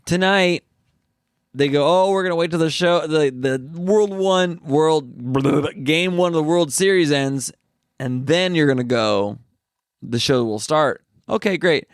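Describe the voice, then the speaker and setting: Animated man telling a comedy gig story. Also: fairly high pitch, measured pace, clear, resonant tone, dry recording, studio quality. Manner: animated storytelling, quoting others. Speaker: podcast co-host, comedian. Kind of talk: casual chat.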